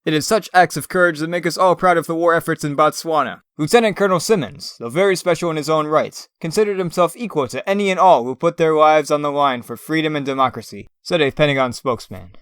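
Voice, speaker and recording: A man's voice: fairly high pitch, fast, few pauses, a bit bright, clean tone, dry recording, studio quality, close to an everyday voice. A man playing an earnest TV newscaster in a comic dub, delivering a formal, solemn and reverent scripted report.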